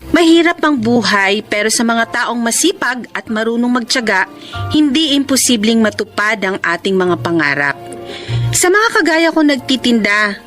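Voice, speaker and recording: A woman's voice: fairly high pitch, expressive, moderate pace, fairly bright tone, faint music behind. An actress playing a hardworking, frank and playful young businesswoman in a Filipino radio drama, teasing and preachy in manner. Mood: earnest, encouraging.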